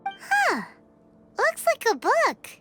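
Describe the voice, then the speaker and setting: A woman voicing a tiny, excitable, childlike fairy companion in a video game, squeaky, nosy and exclamatory. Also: very slow, full character voice. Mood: curious. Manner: refers to self in third person, exclamatory. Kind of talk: video game character acting. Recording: music behind the voice.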